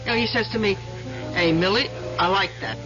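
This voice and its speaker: An actress puts on a folksy, gossipy American waitress for an old radio drama. She rambles in loose everyday speech with little hesitations. Music plays clearly behind her, and the recording is dull. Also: mid-range voice, fairly quick, close to an everyday voice. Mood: cheerful. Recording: muffled radio tape under clear music.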